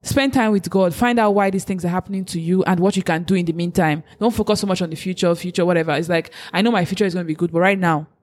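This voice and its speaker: A woman's voice: fairly low pitch, fast talker, few pauses, a little mellow. A woman from Africa in a casual chat, giving earnest, faith-driven encouragement. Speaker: co-host giving advice.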